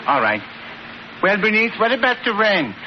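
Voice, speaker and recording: An actor playing a fussy, gullible older widowed landlord in an old radio sitcom, with exaggerated character delivery. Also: male voice, high voice, big pitch swings, normal speed. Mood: excited. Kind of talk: 1940s-50s radio sitcom acting. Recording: muffled, hissy old radio recording.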